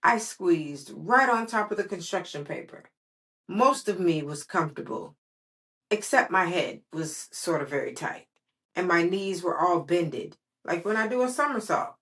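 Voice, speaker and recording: A woman's voice: fairly low pitch, expressive, measured pace, fairly bright tone. A woman reading as a grouchy, outspoken little kindergarten girl from a storybook, blunt and emphatic in childlike grammar. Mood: proud, confiding.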